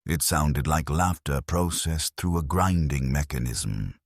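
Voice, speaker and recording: A man's voice: a bass voice, measured pace, no echo, clean studio sound. A man reading a cinematic first-person story in a grave, solemn manner as a narrator.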